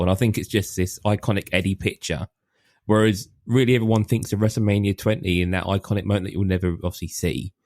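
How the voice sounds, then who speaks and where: A man's voice: fairly low pitch, a little mellow, no room sound, studio quality. A man co-hosting a podcast, talking casually and rambling through a list with lots of ums. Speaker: podcast co-host. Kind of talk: casual chat.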